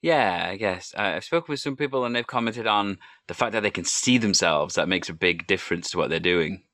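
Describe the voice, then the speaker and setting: A man from Wales hosting a relaxed interview, asking open questions in an encouraging way. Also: sits low, brisk, no echo. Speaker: podcast host and primary school teacher.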